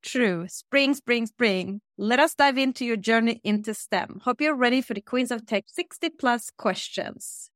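A woman hosting an interview, reading scripted questions in a steady, measured way. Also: a little high, dry recording, studio quality. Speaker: podcast interviewer. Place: Stockholm.

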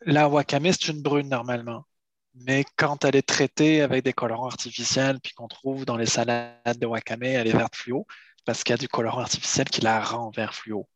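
A man's voice: mid-range voice, little pitch movement, normal speed, no room sound. A middle-aged man from France answering interview questions, explaining at length and often repeating words as he finds them. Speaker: seaweed harvester and entrepreneur.

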